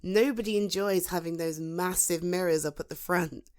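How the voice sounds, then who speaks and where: A British woman playing a protective, supportive female friend in a conversational ASMR roleplay, soothing and encouraging, with thoughtful pauses. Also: fairly low pitch, darkish tone, smooth, close to an everyday voice. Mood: earnest, encouraging. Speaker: voice actor in an audio roleplay. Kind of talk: ASMR roleplay, conversational one-sided dialogue.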